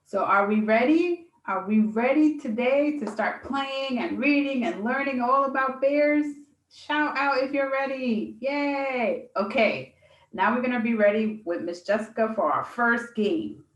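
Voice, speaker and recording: A woman's voice: fairly high pitch, unhurried. A woman hosting a children's read-aloud program, giving a scripted welcome to families and young listeners. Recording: roomy, echoey sound.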